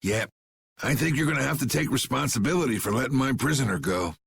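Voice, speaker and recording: Gravelly old guild boss. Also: male voice, medium pitch, animated, fast talker. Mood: blunt, commanding. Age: older. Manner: blunt, folksy, gruff. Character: gruff, commanding old male guild leader, shrewd and blunt. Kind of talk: dramatic video game voice acting.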